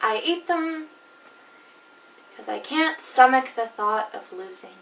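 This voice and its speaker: A woman's voice: a little high, animated, measured pace, creaky, fairly bright tone, reverberant, far from the mic, a little hiss or hum, heavily acted, low-quality recording. A woman performing a comedic monologue as a jilted wife, wry and theatrical, caught between laughing and crying. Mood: wistful.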